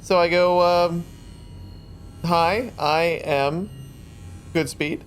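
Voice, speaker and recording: A man's voice: fairly even intonation, extremely slow. A man playing a tabletop roleplaying game, improvising casual dialogue in a blunt, questioning, informal way. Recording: faint rumble and background noise.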